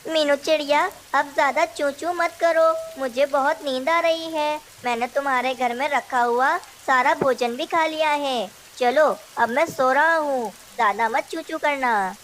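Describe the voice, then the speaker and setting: A woman's voice: high voice, measured pace, few pauses, a bit bright, a little hiss or hum, low-quality recording. A woman voicing a sly, lazy male crow trickster in a children's cartoon, scheming and brazen. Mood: brazen, dismissive. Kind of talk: Hindi children's cartoon dialogue.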